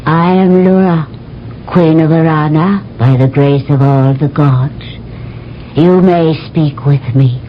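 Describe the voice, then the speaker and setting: An actress playing a regal alien queen who speaks in a slow, measured, commanding way, in a 1970s radio drama. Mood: imperious. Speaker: queen Lura. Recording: dull old radio broadcast with faint rumble.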